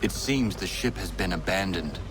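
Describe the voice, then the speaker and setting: An actor playing an earnest, stoic young warrior son in a video game, speaking tersely and seriously. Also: male voice, low-pitched, animated, normal speed. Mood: focused. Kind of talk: dramatic video game acting. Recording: noisy action ambience behind.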